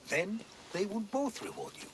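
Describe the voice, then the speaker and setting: A man's voice: high-pitched, wildly swooping pitch. A man playing a mild, learned scholar companion in a video game, erudite and diplomatic. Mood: earnest, explaining. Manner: measured, erudite, conciliatory.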